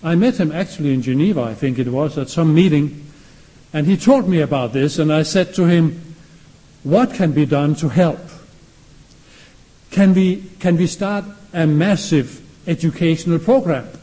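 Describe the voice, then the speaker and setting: Danish-accented man lecturing, mellow voice. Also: on the high side, normal speed, rough recording. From Denmark. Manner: poses rhetorical questions. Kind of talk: classroom lecture.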